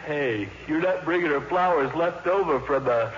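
A man's voice: a little high, moderate pace, natural voice. An actor playing an eager, naive, talkative teenage boy in a 1950s radio drama, with a gushing, awkward manner. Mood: eager, nosy. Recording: muffled, reverberant, noisy old radio recording.

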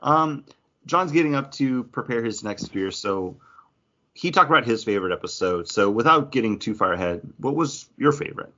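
A man's voice: mid-range voice, lively intonation, moderate pace, bright, forceful tone. A man hosting a podcast in a casual, conversational way. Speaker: podcast host. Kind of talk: casual chat. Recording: dull lo-fi audio.

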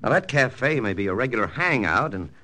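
An actor playing a hard-boiled army lieutenant, narrating in a clipped first-person style for a 1940s radio drama. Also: male voice, medium pitch, animated, fairly quick, sharp tone. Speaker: narrator and lead. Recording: old radio broadcast with music.

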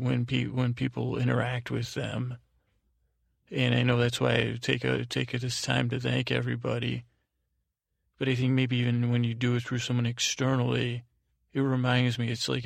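A man hosting a podcast in a casual, meandering way, halting and restarting phrases as he goes, with a creaky, gravelly voice. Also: medium pitch, no room sound, studio quality. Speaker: podcast host. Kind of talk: rambling casual monologue with thank-yous.

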